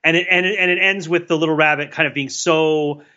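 A man's voice: fairly high pitch, fairly even intonation, fairly quick, sharp tone, highs cut off. A man co-hosting a podcast in casual chat, explaining things in a storytelling way. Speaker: podcast co-host.

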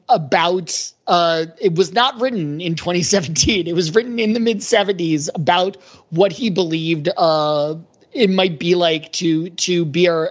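A man talking casually as a podcast panelist about pop culture, in a wordy, rambling, analytical way. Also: fairly high pitch. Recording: dull lo-fi audio.

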